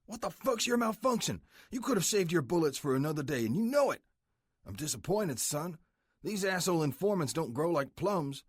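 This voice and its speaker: A man's voice: on the high side, animated, moderate pace, no echo, studio quality, pitched above the performer's own voice. A man voicing a menacing older biker boss. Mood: angry. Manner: menacing, clipped threats. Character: gruff older male biker club president, menacing.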